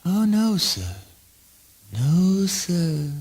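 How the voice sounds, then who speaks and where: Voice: mid-range voice, big pitch swings, extremely slow, a little mellow, slightly rough, exaggerated voice. An actor playing a brazen, deranged, boastful cannibal killer, declaiming in a spoken word play. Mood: manic.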